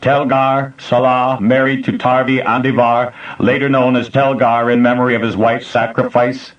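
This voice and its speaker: A man's voice: medium pitch, little pitch movement, normal speed, echoey room, far from the mic, lo-fi sound, pitched above the performer's own voice, lightly acted. A man voicing an ancient computer system that speaks formally without inflection. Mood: formal, toneless. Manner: formal, toneless.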